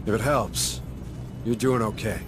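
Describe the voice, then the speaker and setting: An actor playing a steady, dry-humored space marine sergeant in video game dialogue, folksy and reassuring. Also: male voice, lowish voice, unhurried, natural voice. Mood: wry, reassuring. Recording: clear engine and ambient noise behind.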